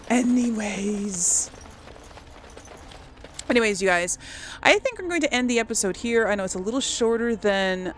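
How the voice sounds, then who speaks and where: A woman's voice: medium pitch, slight background noise. A woman hosting a gaming video with casual let's play commentary, chatting and musing aloud.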